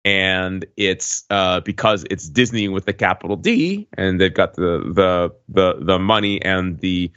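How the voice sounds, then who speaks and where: A man on a podcast casually recounting an experience in a wordy, halting way, often correcting himself. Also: sits low, a bit bright, clear, resonant tone. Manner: wordy, halting delivery with frequent hedging and self-corrections. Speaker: podcast regular recounting a trip. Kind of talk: casual chat, rambling storytelling. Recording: dull lo-fi, roomy echo.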